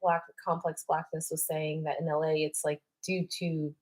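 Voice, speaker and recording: Young woman, chatty livestream co-host, animated, swears a lot. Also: low voice, normal speed. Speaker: co-host reading viewer questions. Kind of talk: casual livestream chat.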